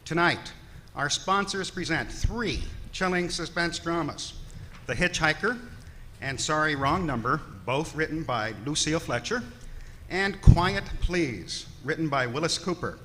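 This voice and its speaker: A man's voice: fairly high pitch, expressive, a little slow, fairly bright tone. A man announcing a live radio theater evening in a declamatory, scripted old-time radio style. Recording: echoey hall with faint noise.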